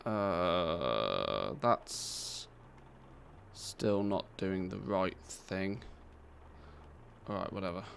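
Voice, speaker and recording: A man's voice: sits low, animated, very slow, gravelly, long pauses, a bit bright, a little hiss or hum. A British man chatting casually on a live game stream, reading out and answering viewers' questions with easy laughter. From Britain.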